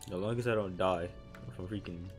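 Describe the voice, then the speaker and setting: A man's voice: sits low, a little fast. A young man giving casual let's-play commentary in a slangy, offhand way. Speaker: gaming streamer. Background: game music.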